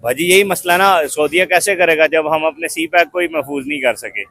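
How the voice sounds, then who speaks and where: A man's voice: fairly high pitch, moderate pace, bright, forceful tone, no echo. Opinionated male interviewee. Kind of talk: casual opinion interview answers.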